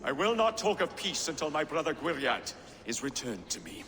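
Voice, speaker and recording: A man's voice: a little high, very animated, normal speed, bright, forceful tone. A man playing a proud, defiant British king in a video game, haughty, scornful and declamatory. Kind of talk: video game cutscene acting. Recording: noisy reverberant hall.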